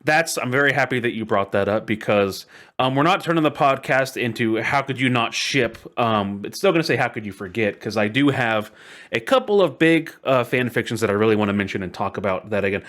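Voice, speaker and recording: A man's voice: medium pitch, animated, a little fast, fairly bright tone. A man hosting a podcast in a casual chat with friends, talking in a playful, rambling way. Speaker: podcast host.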